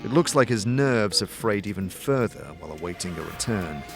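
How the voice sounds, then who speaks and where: Male narrator for fantasy audio drama, animated and varied in pitch, music underneath. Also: fairly quick, vocal fry. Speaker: narrator and lead actor. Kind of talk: scripted second-person fantasy narration.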